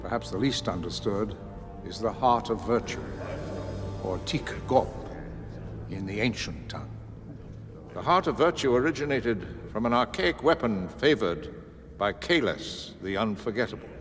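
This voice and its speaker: An actor playing an imperious warrior commander in a video game, delivering a grandiose, deliberate speech to cadets. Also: male voice, on the low side, lively intonation, long reverb tail, music in the background, natural voice. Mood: solemn, reverent. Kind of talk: dramatic game cutscene monologue.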